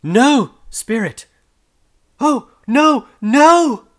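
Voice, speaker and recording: A man's voice: fairly high pitch, lively intonation, normal speed, bright, forceful tone, pitched above the performer's own voice. Scrooge, a man doing a frightened old miser. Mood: desperate. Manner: emotional, theatrical delivery. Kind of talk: audiobook character voice.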